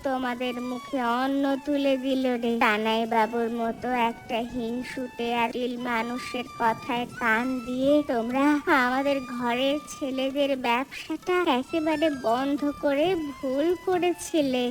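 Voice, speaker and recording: A woman's voice: high voice, fairly even intonation, unhurried, few pauses, poor audio. A woman voicing a protective, reproachful village woman in a cartoon story, speaking emphatically. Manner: emphatic, admonishing. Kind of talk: dramatic Bengali cartoon story dialogue. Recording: music under the voice.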